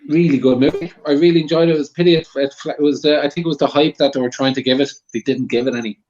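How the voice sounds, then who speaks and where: A man's voice: on the high side, fairly even intonation, racing, a little mellow. A man giving his opinion casually as a guest on a panel debate show. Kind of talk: casual film debate chat. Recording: slightly dull lo-fi sound.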